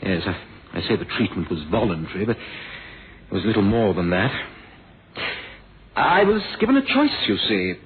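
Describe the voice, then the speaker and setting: A man's voice: on the low side, big pitch swings, measured pace, pauses often, noisy background. Sardonic, theatrical young man, swooping delivery. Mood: bitter, sardonic. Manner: theatrical, indignant, sarcastic. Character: defensive, bitter, sardonic young man, murder victim's son. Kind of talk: 1970s radio mystery drama acting. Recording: muffled old radio recording.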